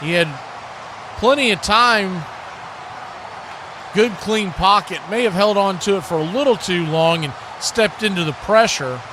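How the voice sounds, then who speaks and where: Man, high voice, livestream host, football play-by-play, casual chat, noisy recording. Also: unhurried, long pauses.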